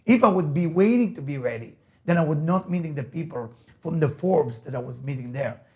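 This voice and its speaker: A man's voice: on the high side, animated, a little fast, mellow. A man with an Israeli accent, a native Hebrew speaker, giving an emphatic motivational lecture, repeating key phrases and asking rhetorical questions. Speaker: rabbi, motivational speaker and teacher.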